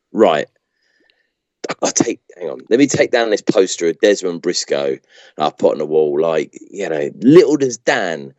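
A man acts a cheeky captive presenter in a comic audio drama. His voice is lowish and swings widely in pitch, with a matey, colloquial delivery. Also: a little mellow, no room sound, dull audio. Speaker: podcast host. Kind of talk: amateur comedy audio drama acting.

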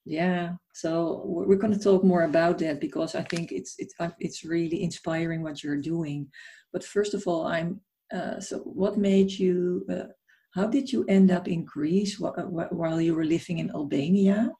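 Mellow-voiced podcast host woman. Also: on the low side, normal speed. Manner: warmly admiring, conversational with restarts. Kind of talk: interview podcast hosting.

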